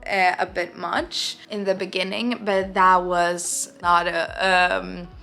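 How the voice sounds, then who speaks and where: A woman's voice: lowish voice, little pitch movement, vocal fry, pushed and bright. Young Swedish-accented woman artist, non-native English, hesitant vlog chat, faint music underneath. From Sweden. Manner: hesitant, halting, searching for words. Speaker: artist vlogger. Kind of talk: casual talking-to-camera vlog. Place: home art studio, indoors.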